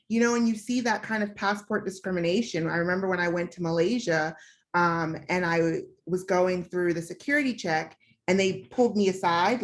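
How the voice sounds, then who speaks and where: A young woman from the United States telling a personal story on a panel, in a candid, reflective way with frequent fillers. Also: lowish voice.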